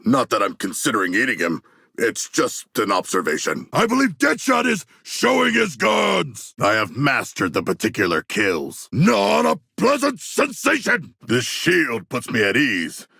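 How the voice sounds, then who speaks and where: An actor playing a huge, earnest, bloodthirsty humanoid shark demigod in a video game, with a deep gravelly voice and grandiose, formal diction. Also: male voice, wildly swooping pitch, unhurried, bright, forceful tone, no echo, clean studio sound, heavily acted. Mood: fierce, proud, then polite, earnest. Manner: grandiose, formal, literal-minded, stately diction. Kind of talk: video game character voice lines.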